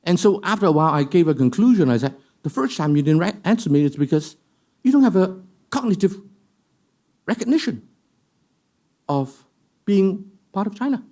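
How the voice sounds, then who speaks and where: A man's voice: high-pitched, animated, normal speed, long pauses, warm tone. A man telling a story at a panel discussion, emphatic and fond of rhetorical questions. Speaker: panel guest. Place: event hall.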